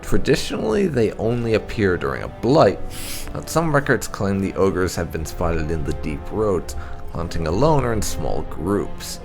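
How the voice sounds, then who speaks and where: Male game-lore narrator, deep and animated, measured dramatic storytelling over faint music. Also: creaky. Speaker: gaming channel host. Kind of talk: reading game lore aloud, scripted narration.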